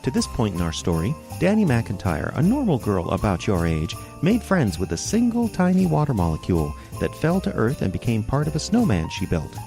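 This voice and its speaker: Lively male children's story narrator over music. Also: medium pitch, fairly quick, vocal fry, hardly any pauses. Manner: storyteller, addressing young listeners directly.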